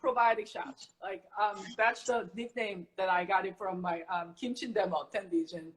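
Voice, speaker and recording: A middle-aged woman from Korea who teaches kimchi making, explaining in a casual, animated way with everyday comparisons. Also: medium pitch, normal speed, low-quality recording. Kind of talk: casual cooking chat.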